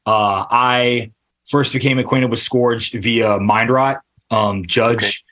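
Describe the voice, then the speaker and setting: A man hosting a podcast interview, asking long, halting questions full of restarts and fillers. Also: medium pitch, steady tone, a bit bright. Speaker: podcast host. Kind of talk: casual interview.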